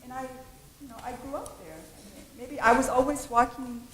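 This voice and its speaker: High-voiced Okinawa-born woman in an echoey room. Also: moderate pace. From Okinawa, Japan. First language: Japanese. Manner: animated, laughing, repeats words. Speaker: audience member. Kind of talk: storytelling from the audience. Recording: echoey room with clear noise.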